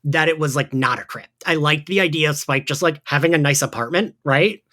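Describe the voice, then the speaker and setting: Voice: mid-range voice, a little fast, pushed and bright. A podcast host in casual recap chat, giggly, peppering sentences with "like". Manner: giggly, frequent filler "like".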